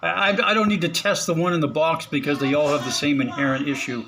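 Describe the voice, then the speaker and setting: Relaxed man narrating a radio repair. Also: on the high side, background noise. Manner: halting, many fillers, chuckles to himself. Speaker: radio hobbyist vlogger. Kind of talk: casual hands-on narration. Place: small spare room at home.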